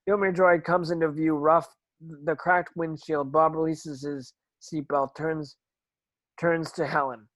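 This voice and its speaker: A man's voice: fairly high pitch, little pitch movement, a little slow, fairly bright tone, no room sound. A man narrating screen action from a script as a stage directions reader, now and then stumbling over words.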